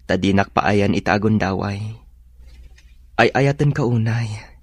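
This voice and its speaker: An actor playing a tender, devoted young suitor in a radio drama, speaking in a sincere, sentimental way. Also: male voice, on the low side, a little slow, husky, dry recording. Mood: pleading, lovestruck.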